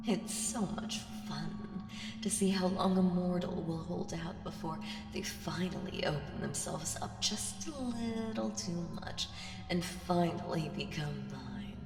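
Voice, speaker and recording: A woman voicing an ancient primordial evil in an ASMR audio roleplay, seductive, taunting and menacing, speaking deliberately and teasingly with breathy whispers. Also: fairly low pitch. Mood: amused, taunting. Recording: very echoey, long reverb, music behind. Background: music.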